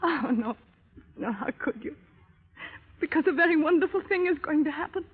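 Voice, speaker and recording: A desperate young wife in a 1930s radio melodrama, her high voice urgent and pleading. The recording is old, thin and lo-fi. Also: animated, moderate pace. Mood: tearful, exalted. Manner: melodramatic, urgent, breathlessly earnest. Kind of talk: 1930s radio drama acting.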